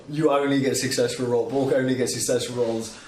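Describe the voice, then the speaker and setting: A man's voice: medium pitch, flat delivery, normal speed, a crowd in the background. A man playing a tabletop game, tossing in casual banter. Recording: echoey room with clear background noise.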